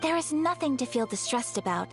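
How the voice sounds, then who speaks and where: A principled young lady of high birth in a video game. Her voice is high and refined, with courteous, measured formality and quiet firmness. Soft music sits underneath. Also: animated. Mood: gracious. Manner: formal, courteous, gently firm. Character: refined young noblewoman, polite, principled and composed.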